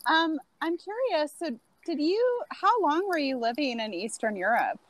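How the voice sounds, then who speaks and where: Bubbly higher-voiced woman podcast host. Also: expressive, unhurried. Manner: bubbly, many "like" fillers. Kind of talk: casual chat.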